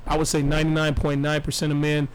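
A man hosting a podcast, joking crudely and protesting loudly in casual comedic chat. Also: fairly high pitch, completely flat delivery, fast, slight background noise. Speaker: podcast host.

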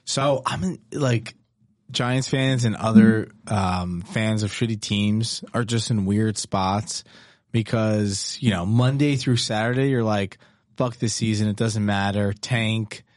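Laid-back, lower-voiced joking man. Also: takes it slowly, no room sound, clean studio sound. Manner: laughs often, loose and profane. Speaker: podcast co-host. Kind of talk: casual sports chat.

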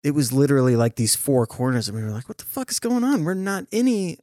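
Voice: mid-range voice, big pitch swings, quick pace, mellow, dry recording, studio quality. A podcast host who asks questions in a laid-back, profane way and laughs easily. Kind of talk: casual interview chat.

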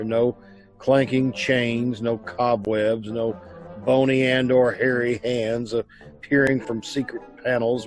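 A man hosting with a scripted old-time radio introduction, measured, theatrical and wry. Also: medium pitch, darkish tone. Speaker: radio show host.

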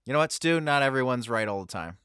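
A man co-hosts a casual podcast in an easygoing manner, chuckling often. His voice sits at an ordinary middle pitch. The sound is dry and close, with no room around it. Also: animated, fast, fairly bright tone, clear, resonant tone, no room sound. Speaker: podcast co-host.

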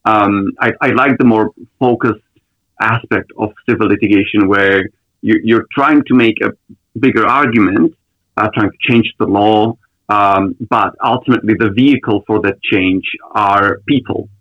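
Low-voiced man answering thoughtfully. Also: normal speed, no room sound, muffled recording. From Czech Republic. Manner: thoughtful, many ums and restarts. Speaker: lawyer, guest. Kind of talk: podcast interview answers.

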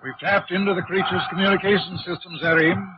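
An actor playing an earnest alien officer with a formal manner in a 1950s radio drama. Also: male voice, high-pitched, flat delivery, fast talker, close to an everyday voice. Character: earnest alien officer, willing to challenge his commander. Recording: muffled, hissy 1950s radio recording.

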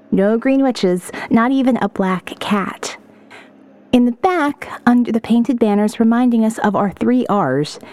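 A woman performs a young female survivor telling her story as a diary in an audio novel. Her voice sits a little high, with clear articulation and a measured, introspective delivery. The sound is dry and free of room echo. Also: a little mellow, lightly acted. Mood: wistful, thoughtful. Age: young adult. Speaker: audiobook narrator. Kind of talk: first-person dramatic audio novel narration.

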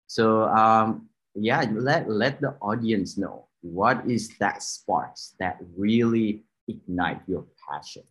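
A man hosting a podcast interview over a video call, asking questions in a halting way full of fillers. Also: lowish voice, a bit bright, poor audio. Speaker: podcast host.

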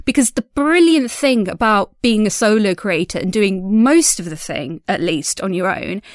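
A woman speaking in an informal audio diary, chatty and self-deprecating in an animated way. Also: mid-range voice, no echo, clean studio sound. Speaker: audio drama creator and diary host.

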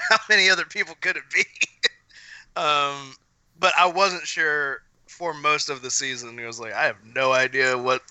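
Male, conversational podcast talker, sharp bright tone, dry close sound. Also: fairly high pitch, moderate pace, clear, resonant tone, no room sound, rough recording. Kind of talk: casual chat.

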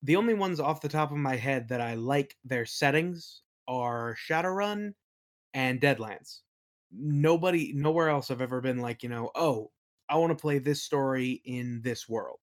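A man's voice: medium pitch, measured pace. Casual, rambling man as podcast guest. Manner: rambling, colloquial, acts out quoted remarks. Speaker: guest, game master and audio play creator. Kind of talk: casual chat, anecdotes.

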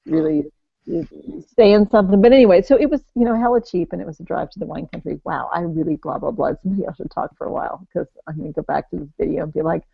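Female co-host, mid-pitched, chatty and self-mocking, swears casually, dry muffled recording. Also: fast talker, gravelly, husky, no echo. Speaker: podcast co-host. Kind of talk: casual chat. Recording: dry, dull lo-fi sound.

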